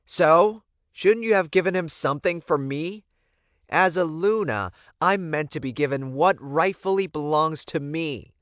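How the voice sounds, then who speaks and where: A man's voice: on the high side, unhurried, fairly bright tone, smooth, no room sound, voice pushed up. A man voicing a demanding, entitled, angry woman in an audiobook, with an indignant raised delivery. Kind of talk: audiobook character voice. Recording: muffled, dull recording.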